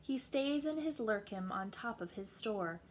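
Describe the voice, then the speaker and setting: A woman's voice: medium pitch, expressive, a little slow, slight background noise. A woman reading a rhyming children's storybook aloud expressively, like a teacher to young listeners.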